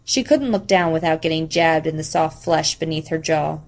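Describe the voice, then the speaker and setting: A woman narrates a novel for an audiobook. Her voice is a little lower than most women's, and she reads scripted prose. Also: moderate pace, vocal fry.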